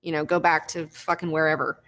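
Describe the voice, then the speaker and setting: A woman's voice: low-pitched, little pitch movement, a little fast, a bit bright, poor audio. Chatty young woman hosting a recap. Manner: chatty, swears freely, laughs often. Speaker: host of a live recap show. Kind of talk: casual live recap chat.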